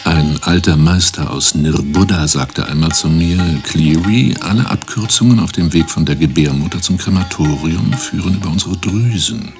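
A man playing an eccentric, pompous guru-like professor in a radio play, lecturing with drawn-out emphasis. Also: sits low, music in the background. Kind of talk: German radio play acting.

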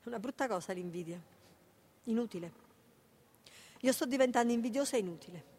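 A woman's voice: fairly high pitch, expressive, a little fast, a little mellow, slightly rough. A woman with a Neapolitan accent playing a poor, proud, anxious mother in a naturalistic radio drama, agitated and repeating herself in colloquial speech. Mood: bitter, self-pitying. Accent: southern Italian, Neapolitan.

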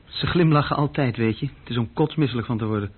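A man's voice: mid-range voice, fast talker, slight background noise, natural voice. An actor playing a restless, self-reproaching young office clerk who tells his story hesitantly and conversationally in a radio play. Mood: contemptuous. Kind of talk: radio play dialogue and recollection. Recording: thin, phone-like old radio recording.

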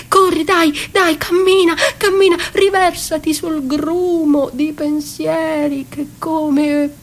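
A fragile, battered woman speaks in a high voice, very slowly, in broken, repeated fragments that sink toward whispers. She sounds desperate and lost, as in a solo radio drama, over a slightly noisy recording. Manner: halting, fragmented, repeating words, sliding into whispers.

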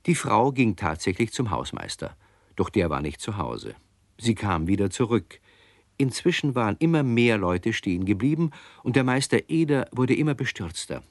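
Man, children's story narration, fairly deep, expressive melody, dry close studio sound. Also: moderate pace, no echo.

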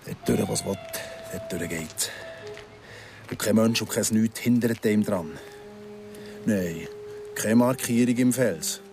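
A man's voice: fairly low pitch, takes it slowly, long pauses, a little mellow, faint music behind. An actor playing a brooding, haunted man who muses in clipped, repeated phrases, in a Swiss German radio drama monologue. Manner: musing, repetitive, clipped phrases.

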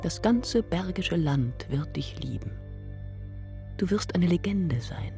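An actress playing a knight's mysterious, adoring lady love, lofty and poetic with a creaky, sometimes breathy voice, in a theatrical radio play. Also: fairly low pitch, expressive, takes it slowly, music in the background.

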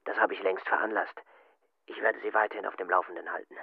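An actor playing a menacing, coldly polite Gestapo colonel in a radio play, questioning in a clipped, officious manner with diction. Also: male voice, low-pitched, steady tone, quick pace, gravelly, breathy edge, phone-quality sound, exaggerated voice. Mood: businesslike. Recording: radio play with music underneath.

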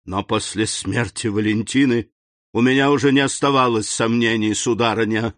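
A man reading the part of a stern, cold middle-aged royal prosecutor who speaks in an icy, deliberate, accusing manner. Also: lowish voice, no room sound, studio quality. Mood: fierce accusing.

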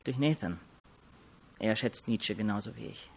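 A man's voice: lowish voice, normal speed, vocal fry, breathy edge. An actor playing a guarded, haughty nineteen-year-old male law student in a radio crime drama, curt and self-assured. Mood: condescending. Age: young adult.

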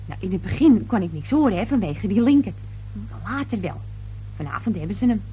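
Blunt, husky housemaid. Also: female voice, mid-range voice, expressive, fairly quick, muffled recording, faint music behind. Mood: gossipy, knowing. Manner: coarse, chatty, indignant. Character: gossipy, blunt, suspicious working-class female household help. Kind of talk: radio play acting.

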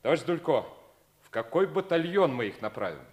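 A man's voice: fairly high pitch, sing-song, a little fast. An actor playing a middle-aged Soviet lieutenant colonel, hearty, authoritative and fatherly, in 1950s stage radio drama style. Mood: commanding, fatherly. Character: fatherly commanding lieutenant colonel, jovial Soviet regiment commander.

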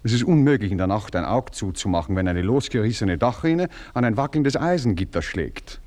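A glib young baron, played by an actor answers his mother with smooth irony. His low voice slides easily into evasions, set in a radio play. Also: expressive, a little fast, darkish tone, natural voice.

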